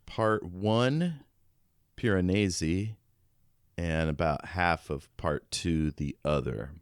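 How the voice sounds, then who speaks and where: A man's voice: deep voice, slow, clear, resonant tone, dry recording, clean studio sound. A man hosting a podcast, casually chatting about a book. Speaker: podcast host. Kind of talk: casual book discussion chat.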